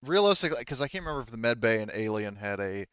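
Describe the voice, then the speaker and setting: A man's voice: medium pitch, expressive, rapid-fire, fairly bright tone, clean tone, no echo, dull audio. A man speaking as game master in a casual, rambling way, explaining rules and describing scenes and often correcting himself.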